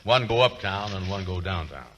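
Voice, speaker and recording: A man acting in a 1940s radio comedy as a boastful, lovestruck, slow-witted porter, drawling in an exaggerated folksy comic dialect. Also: low-pitched, expressive, fairly bright tone, slight background noise. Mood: easygoing.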